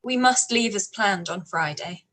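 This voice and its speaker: An actress playing a sharp-witted, spirited young woman with a sarcastic edge. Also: mid-range voice, unhurried. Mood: polite. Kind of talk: live-read radio play.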